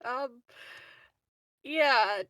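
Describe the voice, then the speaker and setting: A woman's voice: high-pitched, very slow, bright, forceful tone, dry recording, low-quality recording. A woman chatting casually as a player in a tabletop game.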